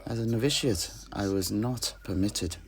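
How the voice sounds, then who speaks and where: A man playing a wistful alchemist and truth-sayer, recounting his homeland in measured, dramatic, poetic fantasy storytelling. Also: on the low side, lightly acted. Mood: solemn recounting.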